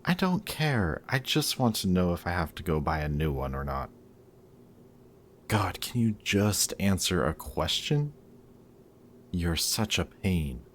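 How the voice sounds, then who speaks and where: Low male voice, actor as snarky guarded villain, dry teasing, grudgingly caring, audio roleplay, noticeable background noise. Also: sing-song, measured pace. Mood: dry, exasperated. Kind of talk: intimate audio roleplay acting.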